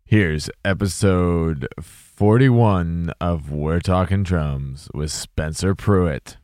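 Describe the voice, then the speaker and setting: A man hosting a casual interview podcast, his voice slightly hoarse and his manner relaxed and chatty. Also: low voice, very slow, dry recording, clean studio sound. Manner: relaxed, chatty, laughs easily. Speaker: podcast host.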